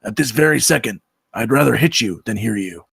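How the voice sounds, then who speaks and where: A hot-tempered Bigfoot, acted out by a man at a table read, big on threats and quick to whine like a hurt child. The voice is forceful and gravelly, rising into shouts in this comedy script, with a close, dry sound. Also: on the low side, lively intonation, no room sound, exaggerated voice. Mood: menacing. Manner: blustering, shouting threats and whining. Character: hot-tempered, childish, oafish male Bigfoot, easily wounded.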